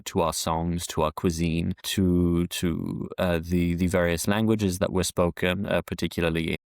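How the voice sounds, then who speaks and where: A man born in France, a historian answering interview questions at a measured pace, often restarting phrases with fillers. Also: sits low, flat delivery, vocal fry, dry recording, clean studio sound. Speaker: podcast guest, historian. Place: London, UK.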